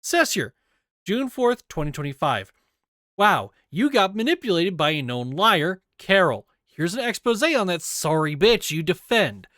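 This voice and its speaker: Animated higher-pitched man reading aloud. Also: no room sound, studio quality. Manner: deliberate, spells out quote marks aloud. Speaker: reader of an investigation document. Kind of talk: scripted document reading.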